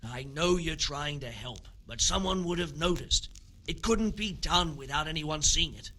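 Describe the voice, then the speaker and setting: A man's voice: fairly high pitch, animated, moderate pace, pushed and bright. An actor playing a practical, sceptical medieval building foreman in a video game. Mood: sceptical, defensive. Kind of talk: video game drama acting.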